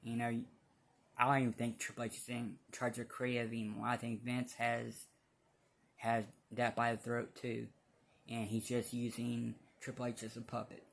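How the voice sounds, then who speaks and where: A man giving casual opinion commentary as a fan vlogger, speaking bluntly in a halting, rambling way. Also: mid-range voice, completely flat delivery, gravelly.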